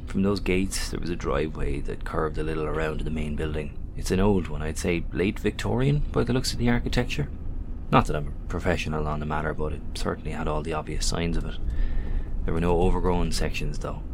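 An articulate survivor in an audio drama, speaking in a low voice. He is measured and wordy, choosing precise words as he reports. A clear rumble of engine noise runs behind him. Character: observant, articulate male survivor reporting on a journey.

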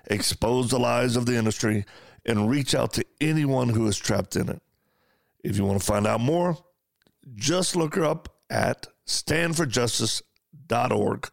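Male interview host, gravelly, enthusiastic, conversational. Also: mid-range voice. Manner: enthusiastic, repeats words when thinking. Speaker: podcast host.

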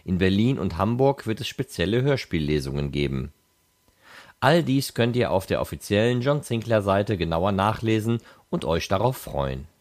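Low-pitched man with animated intonation. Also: normal speed. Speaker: podcast host. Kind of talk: scripted narration.

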